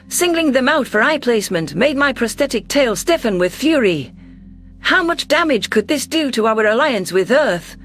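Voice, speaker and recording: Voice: medium pitch, a bit bright. A narrator reading first-person science fiction in a scripted, dramatic storytelling style. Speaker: narrator of sci-fi audio stories.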